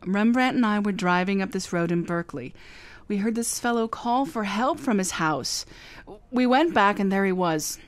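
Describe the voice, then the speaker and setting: A woman's voice: mid-range voice, animated, moderate pace, close to an everyday voice. A woman playing a breezy, flirtatious, wisecracking private eye in a radio drama recreation.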